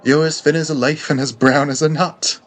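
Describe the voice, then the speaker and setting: Man reading as an inquisitive, sociable young gentleman. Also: on the high side, flat delivery, moderate pace, voice pushed up, lightly acted. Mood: surprised, curious. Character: sociable young man, curious old acquaintance of the hero.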